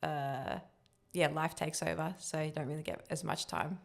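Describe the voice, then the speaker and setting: A woman's voice: deep voice, moderate pace, creaky. A young woman whose first language is Turkish, chatting easily as a guest on a podcast interview. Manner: relaxed, chatty answers. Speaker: guest, building cost estimator.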